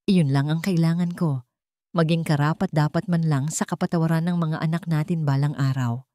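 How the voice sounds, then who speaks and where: A woman's voice: deep voice, steady tone, moderate pace, warm tone, no room sound, lightly acted. A woman voicing a guilty, apologetic former husband in a dramatic storybook, pleading. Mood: resigned. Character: remorseful adult man, guilty former husband. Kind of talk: dramatic audio storybook acting.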